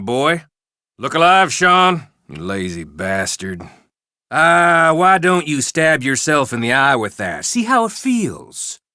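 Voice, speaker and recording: A sneering, bright-voiced outlaw bully. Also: male voice, medium pitch, no echo, studio quality, heavily acted. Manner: mocking, insulting, drawn-out taunts. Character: mean, sneering male Old West gang outlaw bullying his companions. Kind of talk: video game character barks.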